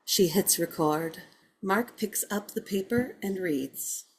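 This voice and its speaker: A woman's voice: mid-range voice, slow pace, a little mellow, a little hiss or hum, rough recording. A woman narrating scripted stage directions for a drama.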